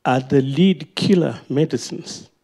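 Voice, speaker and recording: Nigerian man, fairly high mellow voice, deliberate pace, emphatic public question. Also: husky. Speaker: audience member asking a question. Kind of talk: public question at a panel event. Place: auditorium at a panel event.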